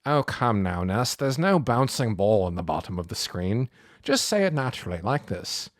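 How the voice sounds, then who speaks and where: A man voicing a polite, pedantic boy scholar in a storybook, wordy and old-fashioned in his gentlemanly phrasing. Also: fairly low pitch, sing-song, moderate pace, dry recording, clean studio sound. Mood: patient, chiding. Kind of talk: storybook character voice.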